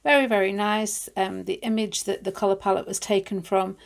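A woman's voice: mid-range voice, little pitch movement, brisk, creaky. A woman from the UK hosting a craft tutorial, chatting casually with frequent ums, sounding nasal and congested from hay fever. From United Kingdom. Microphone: overhead camera on hands, voice-over.

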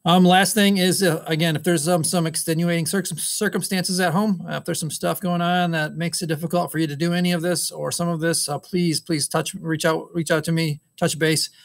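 A man's voice: on the high side, flat delivery, a little fast, vocal fry, hardly any pauses. A middle-aged man, a woodshop teacher, giving a casual recorded update to his class over a webcam, with many ums and uhs. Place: school wood shop. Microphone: webcam on a screen recording.

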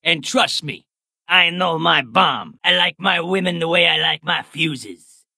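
A man voicing a brash, greedy, wisecracking male goblin in a video game, gravelly and sharp, like a fast-talking wise guy. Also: a little high, dry recording, studio quality, exaggerated voice. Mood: sleazy, flirty. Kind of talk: video game character voice lines.

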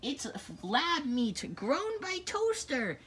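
A high-voiced performer voicing an elderly, muddled grandma teddy bear who gives halting cooking instructions in an amateur puppet skit. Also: big pitch swings, a bit bright, exaggerated voice. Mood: pleased. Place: small bedroom, indoors. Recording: noisy home recording with clicks.

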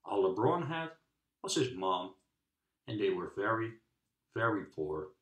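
Male storybook narrator, very varied intonation, measured dramatic phrasing, echoey room. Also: fairly low pitch, big pitch swings, fairly bright tone. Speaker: storybook reader. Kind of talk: children's book read-aloud narration. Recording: roomy, echoing sound.

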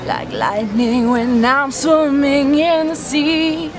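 A woman's voice: high voice, slow. A woman in her twenties singing a soul pop song outdoors, phrases climbing upward. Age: 20s. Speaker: singer auditioning. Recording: crowd and music outdoors. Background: crowd and music.

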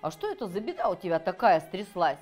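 Grumpy old male carp, blustering, kind underneath, lively swooping delivery, storybook character, acted by a woman. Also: fairly low pitch, quick pace, faint music behind. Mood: gruff, consoling. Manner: blustering, grumbling.

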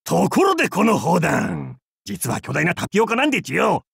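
An actor playing a boisterous, crude and goofy male pirate captain in a game, with a gravelly, husky voice and a hammy, theatrical, jokey way of talking. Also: high-pitched, huge pitch swings, moderate pace, fairly bright tone, no room sound, clean studio sound, full character voice. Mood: hammy battle cries. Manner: hammy, theatrical, jokey otaku-style speech. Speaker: game character voice. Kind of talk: voiced game character lines.

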